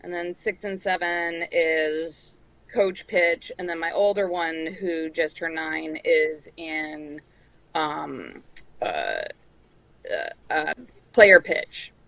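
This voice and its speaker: A woman from New England chatting casually, with a halting, good-humoured way of talking. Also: low voice, animated, creaky, fairly bright tone. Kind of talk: casual chat.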